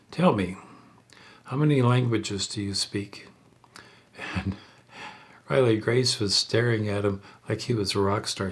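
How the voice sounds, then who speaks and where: A man telling a story aloud in a halting, measured way, unpolished and in short phrases. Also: lowish voice.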